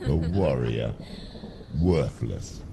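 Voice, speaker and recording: An actor playing a cruel, contemptuous shadow demon in a video game, growling sneering taunts in a gravelly voice. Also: male voice, very deep voice, expressive, very slow, background noise, exaggerated voice. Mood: contemptuous taunting. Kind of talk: video game cutscene acting.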